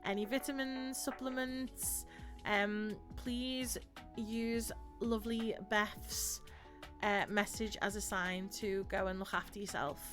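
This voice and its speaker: Slow-talking bright-voiced woman. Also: medium pitch, steady tone, soft background music. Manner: chatty, laughs often, many fillers. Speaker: streamer host. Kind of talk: casual chat on a live stream.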